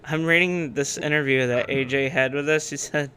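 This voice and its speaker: A man's voice: fairly high pitch, steady tone, normal speed, crowd noise. A man co-hosting a podcast in casual wrestling chat. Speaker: podcast co-host.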